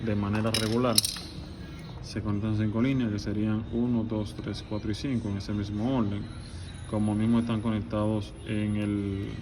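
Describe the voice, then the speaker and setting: Male technician, lowish voice, plain instructional delivery with repeated words, clear background noise. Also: little pitch movement, normal speed. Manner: explanatory, repeats words for emphasis. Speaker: security technician. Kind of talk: on-site installation tutorial.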